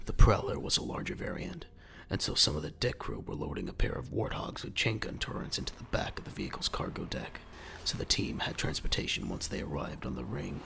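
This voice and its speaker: Very deep, gravelly male narrator. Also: sing-song, a little fast, few pauses, pushed and bright. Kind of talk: scripted audio drama narration.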